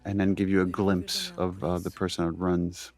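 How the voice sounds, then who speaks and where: A man's voice: low voice, level tone, normal speed, a little mellow, soft background music. A man giving unscripted, reflective commentary as a game director, explaining the work as he goes. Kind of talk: unscripted behind-the-scenes commentary.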